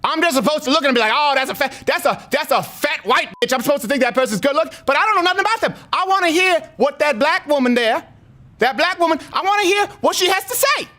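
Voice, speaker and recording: A man's voice: extremely high pitch, lively intonation, fast talker, pushed and bright, heavily acted. A man voicing an indignant, aggrieved single mom in a prank phone call, rambling and repeating with slangy emphasis. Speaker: prank caller.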